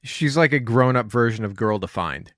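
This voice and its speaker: A man's voice: medium pitch, expressive, normal speed, fairly bright tone, dry recording, clean studio sound. A man co-hosting a podcast, joking around with sarcastic asides. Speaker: podcast co-host. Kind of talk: casual podcast chat.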